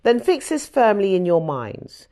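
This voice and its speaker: A woman reading scripture aloud as scripted audiobook narration, with an expressive storytelling delivery. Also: mid-range voice, measured pace. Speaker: audiobook reader.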